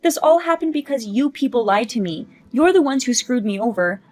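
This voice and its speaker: A woman voicing a greedy, entitled mom who whines and pleads loudly when caught out. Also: fairly high pitch, expressive, fairly quick, lightly acted. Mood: whiny.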